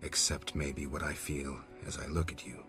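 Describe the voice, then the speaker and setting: A stoic, guarded monster hunter from a fantasy game. His voice is extremely low and gruff, and he speaks in short deadpan phrases. Faint music plays behind him. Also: moderate pace. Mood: subdued, tender. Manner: terse, deadpan. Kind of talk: video game voice acting.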